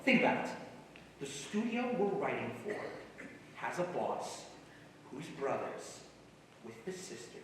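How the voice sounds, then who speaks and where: Man acting an excitable, dreaming comedy writer, echoey hall. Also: medium pitch, measured pace, pauses often. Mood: confident, persuasive. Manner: animated, theatrical, emphatic. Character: excitable male comedy screenwriter, brash optimistic dreamer. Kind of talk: comic stage-play acting. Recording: echoey hall, distant mic, faint noise.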